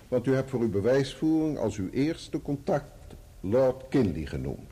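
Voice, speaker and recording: An actor in a radio play voicing a probing, sardonic barrister, formal and full of pointed rhetorical questions. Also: male voice, medium pitch, warm tone, breathy edge. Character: probing, sardonic male barrister cross-examining a witness. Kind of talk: courtroom radio play acting.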